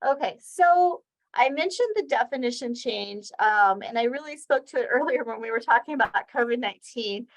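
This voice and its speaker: A woman's voice: fairly high pitch, rough recording. A woman presenting an educational webinar, explaining slides step by step in a conversational way with examples. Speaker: presenter of a weekly healthcare training session.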